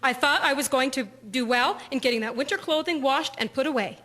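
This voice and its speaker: A woman playing a brisk, fretful 1920s housewife and mother in an audio drama. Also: high voice, bright, forceful tone. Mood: bossy. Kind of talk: scripted audio drama acting. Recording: clear hiss behind the voice.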